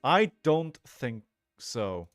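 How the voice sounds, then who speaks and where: A man's voice: mid-range voice, big pitch swings, extremely slow, dry recording, soft background music. A man in his forties giving casual, rambling live commentary on a card game, thinking aloud and chatting to viewers. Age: 40s. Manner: rambling, thinking aloud, frequently checking in with "right?".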